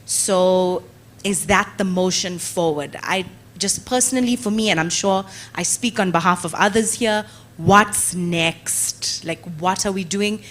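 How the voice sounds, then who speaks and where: A woman's voice: fairly low pitch, unhurried, a bit bright, a little hiss or hum. A woman asking an enthusiastic question from the floor at a conference. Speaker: conference delegate.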